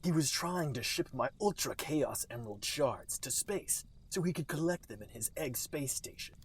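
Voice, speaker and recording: A brooding cartoon antihero acted out by a man, husky and menacing. The lines come deliberately and self-seriously, as in a comic fan-fiction reading. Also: mid-range voice, animated.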